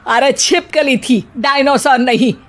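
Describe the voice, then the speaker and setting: A woman's voice: high voice, a little slow. A woman playing a bossy, scolding middle-aged mother-in-law with a sarcastic manner in a cartoon story. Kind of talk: Hindi cartoon story acting.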